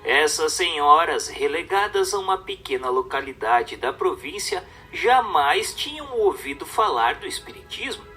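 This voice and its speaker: A man's voice: on the high side, very animated, a little slow, few pauses, sharp tone, faint music behind. A man reading a religious book as dramatic scripted narration, in a solemn, emphatic, declamatory manner.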